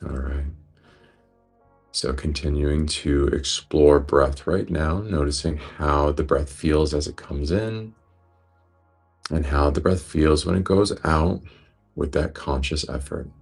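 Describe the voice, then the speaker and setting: A man guiding a breathwork meditation in a gentle, unhurried, reassuring manner. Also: a bass voice, fairly even intonation, vocal fry, slightly rough, soft background music. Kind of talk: guided meditation instruction.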